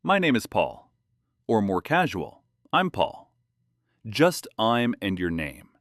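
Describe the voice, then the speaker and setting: A man's voice: on the low side, takes it slowly, no echo. British guy cohosting, bouncy up and down voice, dry jokes, dry studio sound. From London. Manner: dry, playful storytelling with lively jokes. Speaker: podcast co-host. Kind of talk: scripted English-learning podcast chat.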